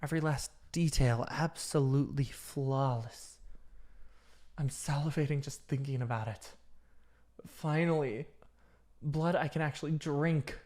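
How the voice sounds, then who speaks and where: Someone doing a possessive hungry vampire lab tech, chatty asmr roleplay, coaxing but menacing, mid-low voice. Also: a little slow, darkish tone, natural voice. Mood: hungry, infatuated. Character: obsessive, dominant yandere vampire lab technician, starved for blood.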